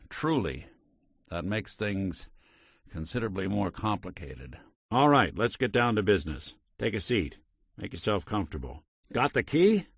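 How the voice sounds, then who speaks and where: An actor playing a world-weary male synth private detective in a video game, with a gravelly voice and dry, wry noir delivery. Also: fairly low pitch, a bit bright, dry recording, exaggerated voice. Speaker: companion character.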